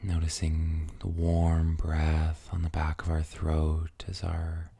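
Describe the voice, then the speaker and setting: Man, guided meditation, dark husky voice, soothing, breathy, near-whispered, unhurried pauses. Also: a bass voice, completely flat delivery, no room sound.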